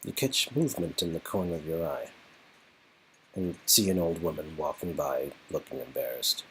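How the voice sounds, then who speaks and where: A man's voice: very deep voice, moderate pace, fairly bright tone, slight background noise, rough recording. A man narrating a romantic game story in scripted second-person prose.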